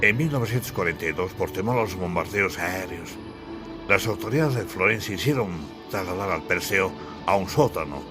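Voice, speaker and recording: A deep-voiced man narrates an audiobook. His delivery is solemn and literary, with a declamatory turn to his phrases. Music plays clearly behind him. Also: animated, normal speed.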